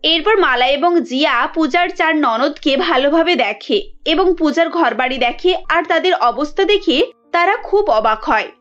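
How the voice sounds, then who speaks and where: A woman's voice: high voice, lively intonation, moderate pace, fairly bright tone, dull audio, faint music behind. A woman giving scripted narration for a cartoon story.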